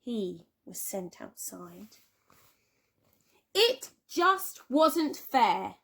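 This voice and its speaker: A woman teacher reading a children's storybook aloud with expressive, dramatic emphasis and drawn-out words. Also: fairly high pitch, husky. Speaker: primary school teacher.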